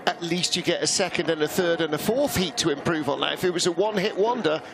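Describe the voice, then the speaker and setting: A man's voice: on the high side, quick pace, creaky. A man with a Welsh accent doing live sports commentary, chatty and anecdotal, laughing easily. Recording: commentary over loud outdoor track noise.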